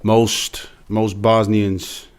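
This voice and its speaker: A man's voice: lowish voice, flat delivery, extremely slow, clear, resonant tone. A man from the former Yugoslavia who grew up mostly in Serbia, talking informally about himself in a casual group discussion. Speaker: podcast cast member.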